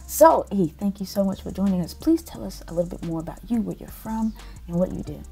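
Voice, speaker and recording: Mellow-voiced woman hosting a podcast. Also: mid-range voice, brisk, gravelly, soft background music. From United States. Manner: upbeat, searching for words. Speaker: podcast host. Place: home room. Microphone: earbud headset mic on a video call.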